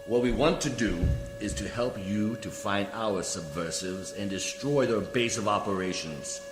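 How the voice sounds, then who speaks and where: Man acting a deep-voiced, condescending alien official. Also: unhurried, close to an everyday voice. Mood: smooth bargaining. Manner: precise, formal, condescending. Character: condescending, coolly formal male alien judicial official. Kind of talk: radio play science fiction acting. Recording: echoey hall reverb, music behind.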